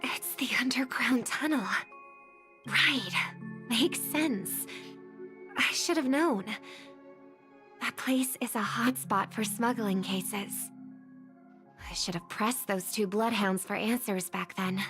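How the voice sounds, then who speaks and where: An actress playing a gentle, thoughtful young woman in a video game, speaking politely and pensively, with music behind her. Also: a little high, slow pace, long pauses. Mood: thoughtful, determined. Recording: background music under voice.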